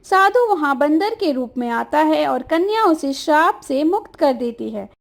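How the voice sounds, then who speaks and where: High-pitched woman narrating a story. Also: big pitch swings, unhurried, gravelly. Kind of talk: scripted children's story narration.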